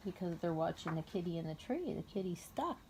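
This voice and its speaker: A woman's voice: lowish voice, big pitch swings, a little fast, a little mellow, poor audio. Mother reading a storybook over background noise. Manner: explains simply and patiently to a young child. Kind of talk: read-aloud story narration with casual asides to a child.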